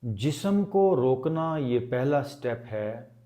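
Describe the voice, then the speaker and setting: A man leading a guided meditation as a teacher, speaking calmly and deliberately with long pauses. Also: mid-range voice.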